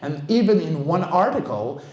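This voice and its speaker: A middle-aged man whose first language is French gives a casual conference talk. His voice sits a bit high for a man and rings in an echoey room. He speaks informally, like a seasoned coach addressing a meetup. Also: sing-song, moderate pace, darkish tone, lo-fi sound. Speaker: guest speaker, voice director and vocal stunt coach. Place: indoor game developer meetup venue. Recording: roomy, echoing event space.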